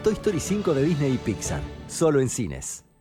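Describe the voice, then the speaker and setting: A man's voice: medium pitch, wildly swooping pitch, normal speed, loud music behind the voice. A man with an Argentine accent reading scripted promotional narration as an advert announcer.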